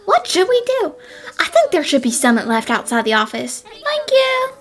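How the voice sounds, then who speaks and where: A woman's voice: extremely high pitch, wildly swooping pitch, normal speed, fairly bright tone, full character voice. A woman voicing a polite, anxious young festival office worker in an anime fan dub. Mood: apologetic, worried.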